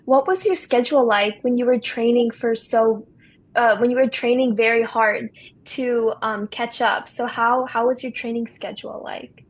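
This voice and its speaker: A woman's voice: fairly high pitch, steady tone, moderate pace. A woman hosting an interview, asking hesitant questions that she rephrases as she goes. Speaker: interviewer, podcast host.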